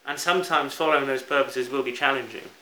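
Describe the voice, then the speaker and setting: A man's voice: medium pitch, fast talker, bright, forceful tone. A British man preaching a sermon in an earnest, reflective manner, stressing key words as he goes. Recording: roomy echo, faint background noise.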